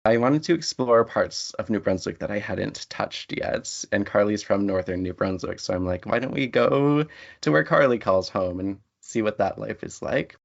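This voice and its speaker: A man's voice: lowish voice, lively intonation, normal speed, creaky. A man speaking as a writer being interviewed, answering in a thoughtful, articulate, reflective way. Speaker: interview guest, writer and lecturer. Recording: dull, lo-fi audio.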